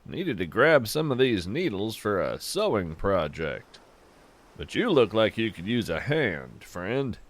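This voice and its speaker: A man's voice: fairly low pitch, very animated, measured pace, exaggerated voice. A man playing a folksy, unflappable naturalist who reassures with wry humor in an audio fiction podcast. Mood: folksy, reassuring.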